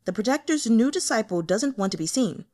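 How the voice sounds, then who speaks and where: A woman's voice: on the high side, expressive, fairly quick, dark tone, voice pushed up, close to an everyday voice. Woman as a stern, curt devil lord. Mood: harsh. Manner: curt, commanding. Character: stern, scheming devil lord posing as a henchman.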